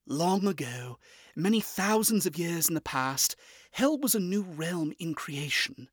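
A man's voice: on the high side, sing-song, unhurried, breathy edge, no room sound, voice pushed up, full character voice. A man putting on a proper, well-bred male demon prince of owls who speaks in a raised, lilting voice that swoops up and down. He talks formally and likes to lecture. The delivery is close and dry, with no room sound. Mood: lecturing. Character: fussy refined male owl demon prince.

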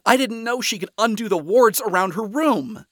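A man's voice: fairly high pitch, animated, measured pace, fairly bright tone, no echo, clean studio sound, voice pushed up, full character voice. A man voicing a proud, irritable devil father in a story, speaking in a wounded, defensive way. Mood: defensive, irritated. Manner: indignant, defensive.

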